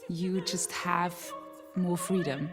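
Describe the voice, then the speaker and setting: Woman with loud music behind. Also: lowish voice, fairly even intonation, takes it slowly. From Austria. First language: German. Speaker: singer, competition finalist. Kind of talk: interview clip.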